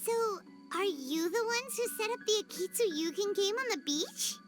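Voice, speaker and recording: A woman voicing a small, childlike fairy companion in a video game, excitable and bossy, with a squeaky voice. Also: moderate pace. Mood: curious. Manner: refers to herself in third person. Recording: music playing under the voice.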